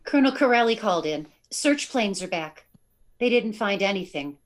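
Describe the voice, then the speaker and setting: A woman's voice: medium pitch, expressive, moderate pace, lightly acted. A woman playing a dutiful control-room secretary in a radio drama, businesslike and deferential. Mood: concerned. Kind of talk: live radio drama acting.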